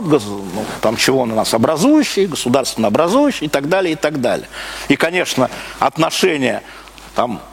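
A man from Russia giving a live public lecture, speaking emphatically with repeated phrases, rhetorical questions and broken-off sentences. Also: a little high. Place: live talk before an audience. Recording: clearly noisy live recording.

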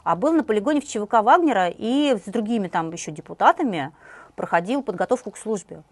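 A woman co-hosting a satirical news chat, telling stories in a wry, brisk way. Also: medium pitch, animated, no echo. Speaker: co-host, journalist.

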